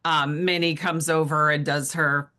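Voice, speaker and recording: A woman hosts a casual interview show. Her voice sits low yet sounds bright and clear-cut, and she talks in an enthusiastic, agreeable way. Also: flat delivery, measured pace. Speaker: podcast host.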